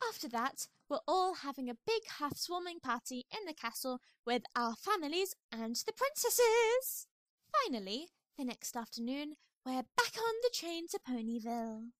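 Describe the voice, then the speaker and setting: A woman's voice: high-pitched, very animated, moderate pace, no room sound. A woman playing a refined, gossipy female pony who frets over her friend in an amateur audio drama. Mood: brisk, organized.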